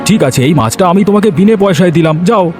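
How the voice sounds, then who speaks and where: Man playing rapid-fire, high-voiced kindly fishmonger. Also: animated. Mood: friendly, generous. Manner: solicitous, eager. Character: kind-hearted, concerned village fishmonger. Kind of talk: Bengali cartoon voice acting. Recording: music behind the voice.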